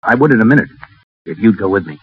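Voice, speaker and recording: A man's voice: on the low side, expressive, fast, husky. An actor playing a hard-boiled, proud legionnaire private with slangy tough-guy talk in a 1930s radio drama. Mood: tender, wistful. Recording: muffled 1930s radio recording, music behind.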